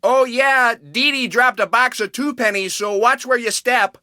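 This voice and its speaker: A woman voicing a gruff, pushy older man who runs a nail factory in a video game. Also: fairly high pitch, unhurried, bright, forceful tone, dry recording, clean studio sound.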